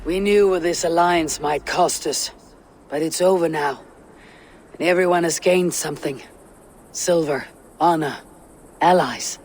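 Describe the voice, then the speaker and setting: Higher-voiced, sober Viking warrior. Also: male voice, a little slow, long pauses, soft background music. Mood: calm, guarded. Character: steady, loyal young Viking warrior. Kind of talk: video game cutscene acting.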